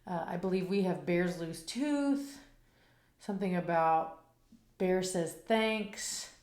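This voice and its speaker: A woman reading a children's picture book aloud in a slow, expressive, rhythmic way, after a casual spoken intro. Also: on the low side, reverberant.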